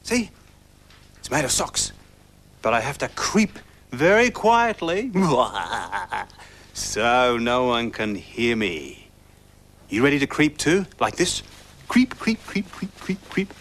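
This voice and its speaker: A man's voice: medium pitch, big pitch swings, measured pace, fairly bright tone. A man playing a mischievous, timid sock-stealing creature in a children's programme, playful and sneaky, with a giggly, sing-song way of talking. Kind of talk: children's programme character acting.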